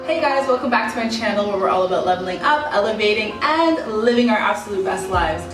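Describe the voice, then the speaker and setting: A woman hosting a casual, upbeat vlog interview, quick to encourage and agree with her guest. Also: mid-range voice, animated. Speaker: host and interviewer. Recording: echoey hall, distant mic, music.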